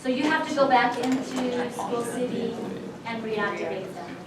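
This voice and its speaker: A woman's voice: on the high side, normal speed, over a noisy crowd. A woman informally explaining procedures to colleagues as a staff trainer. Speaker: staff trainer answering questions. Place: large room at a school faculty meeting. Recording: very echoey room, distant mic, audience murmur.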